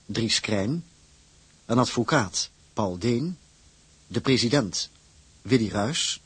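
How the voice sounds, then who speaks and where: A man reading scripted radio drama credits as an announcer in a measured, formal manner. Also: medium pitch, a bit bright, breathy edge, no room sound.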